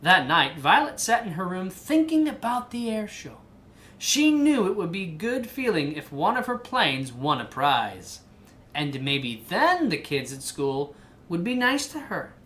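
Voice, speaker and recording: A woman reading a children's storybook aloud in an animated style. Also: lowish voice, unhurried. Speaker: storybook reader.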